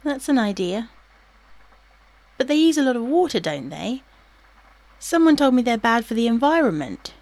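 A woman's voice: fairly high pitch, big pitch swings, moderate pace, long pauses, a little mellow, dry recording. An actress playing a self-doubting wife and new mother in a domestic audio drama, hesitant and questioning. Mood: doubtful.

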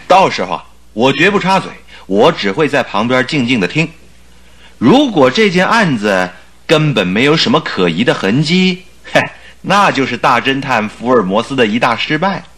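Animated, skeptical doctor sidekick. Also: male voice, mid-range voice, slightly rough, full character voice. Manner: lively, bantering. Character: skeptical, good-natured male doctor, detective's companion. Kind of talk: Chinese radio drama acting.

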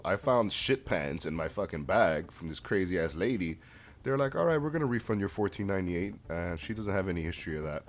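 Deep-voiced man laughing through a story. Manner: laughs often, swears, animated retelling. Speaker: podcast co-host. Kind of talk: casual chat.

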